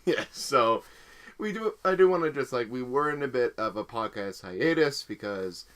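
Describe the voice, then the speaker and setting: A man's voice: mid-range voice, lively intonation, moderate pace, a bit bright. A young man hosting a casual podcast, rambling through stories and laughing often. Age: young adult. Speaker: podcast host and editor. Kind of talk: casual chat.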